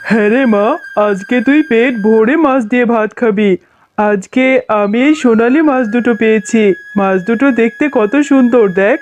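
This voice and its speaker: Woman playing a high-voiced doting cartoon father fox. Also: normal speed, slight background noise, natural voice. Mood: pleased, doting. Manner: affectionate, homely, expressive. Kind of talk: children's cartoon voice acting.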